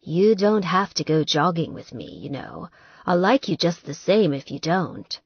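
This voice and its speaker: A reserved, affectionate boyfriend, played by a woman narrator in an audiobook. He speaks slowly and a little low, with gentle teasing, on a dry recording. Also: animated, breathy edge, no echo, highs cut off, exaggerated voice. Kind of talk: audiobook character dialogue.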